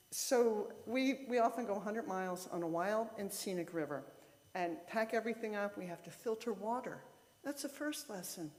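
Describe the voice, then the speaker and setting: A woman's voice: mid-range voice, a little mellow. A woman giving an informal lay sermon in a conversational, explanatory way, with short emphatic statements. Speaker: lay speaker at a church service. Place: church sanctuary, speaking to a congregation. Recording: reverberant hall with long echo.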